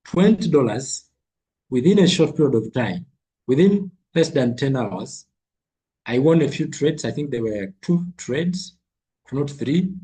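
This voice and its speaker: Male trading coach, husky with a cold, repeats key points to a call. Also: mid-range voice, animated, unhurried, mellow, low-quality recording. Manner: repeats figures and phrases for emphasis. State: has a cold. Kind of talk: live online trading lesson.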